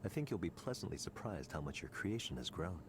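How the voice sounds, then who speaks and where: Deep-voiced, smug corporate executive. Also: male voice, very animated, noisy background. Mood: persuasive, calculating. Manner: smooth, condescending, deliberate. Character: smug, calculating male tech company executive. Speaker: game voice actor. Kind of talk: scripted visual novel dialogue.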